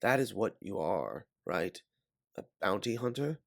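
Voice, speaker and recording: A man's voice: fairly low pitch, lively intonation, unhurried, gravelly, no room sound, clean studio sound, voice pushed up. A man voicing a mysterious raider who is eager to help as a guide, earnest and persuasive, in an audiobook. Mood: knowing, persuasive. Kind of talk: audiobook character voice.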